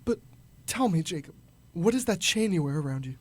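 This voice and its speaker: A crotchety old man played by an actor in a radio drama, scoffing one moment and fearful the next. He stammers and blusters, and his pitch moves in wide, animated swings. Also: brisk, lightly acted. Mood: anxious, pleading. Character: crotchety old male miser, frightened and scoffing.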